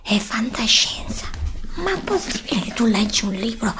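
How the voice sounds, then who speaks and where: A woman playing a curious, stubborn student in a whispered ASMR roleplay, hushed, insistent and pleading. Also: on the high side, very animated, normal speed. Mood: insistent, incredulous.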